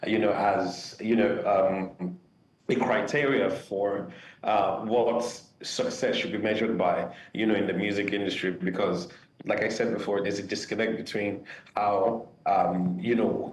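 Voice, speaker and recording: Male guest commentator, lower voice, opinionated but hesitant, distant roomy mic. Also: fairly even intonation, a little slow. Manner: hesitant, many fillers, opinionated. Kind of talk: opinionated discussion. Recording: roomy echo from a distance, faint noise.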